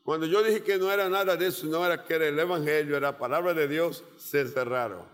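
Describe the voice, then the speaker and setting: A man with a Portuguese accent, a Portuguese native speaker preaching a sermon as a missionary, speaking in slow, deliberate phrases with measured pauses. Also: a little high. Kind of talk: sermon with personal testimony. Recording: long reverb of a large hall.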